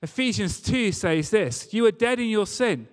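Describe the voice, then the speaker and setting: A man's voice: sits high, animated, moderate pace. A man preaching a church sermon in an earnest, emphatic way, repeating key phrases. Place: large reverberant church or hall. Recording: long hall reverb.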